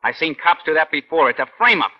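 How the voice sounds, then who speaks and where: An actor playing a sullen, defiant young street tough in a 1950s radio crime drama, with clipped, slangy film-gangster talk. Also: male voice, on the high side, expressive, quick pace. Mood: cocky, evasive. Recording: dull old radio recording.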